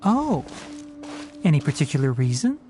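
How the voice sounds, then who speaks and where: Young smart forest ranger from an anime game, matter of fact but very expressive pitch, kinda dry. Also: male voice, normal speed, over loud music. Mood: instructive. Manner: instructive, dryly teasing, articulate. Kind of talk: anime-style video game voice acting.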